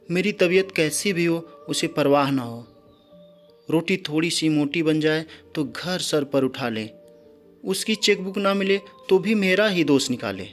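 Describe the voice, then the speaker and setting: A man's voice: fairly high pitch, close to an everyday voice. A man voicing an outspoken young adult daughter in a story, earnest and firm. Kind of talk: storybook character dialogue. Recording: background music under the voice.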